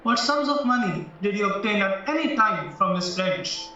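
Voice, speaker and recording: A man acts out a probing defence barrister in an audio drama, shrewd, formal and deliberate. His voice is high and forceful. The recording is dull and very echoey, as if far from the mic, with music behind. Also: lively intonation. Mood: serious, deliberate. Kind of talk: stage-style courtroom audio drama acting.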